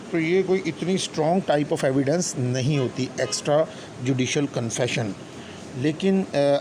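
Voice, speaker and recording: A man with a Pakistani accent giving an explanatory law lecture, deliberate and instructional, mixing in English legal terms. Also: a little high. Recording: clear steady background noise, hum.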